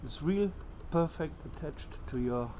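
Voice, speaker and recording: A man in his thirties with a German accent, haltingly presenting a gear demonstration in English as his second language. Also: on the high side, animated. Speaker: bushcraft video presenter. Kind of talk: informal gear demonstration to camera. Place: outdoors at a wooden bench by trees. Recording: noisy outdoor recording with ambient sounds.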